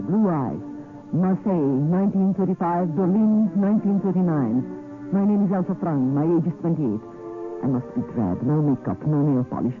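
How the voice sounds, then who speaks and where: An actress playing a determined, crisp young woman secret agent in a 1940s radio drama. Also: deep voice. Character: determined, daring woman secret agent in her late twenties. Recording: tinny vintage radio, faint music.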